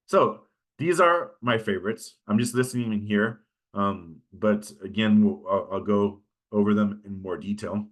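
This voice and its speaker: A man's voice: on the low side, normal speed. A man with a Californian American accent giving an informal workshop lecture in a conversational way, often checking in with his listeners. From California, United States. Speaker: instructor giving a workshop on pitching.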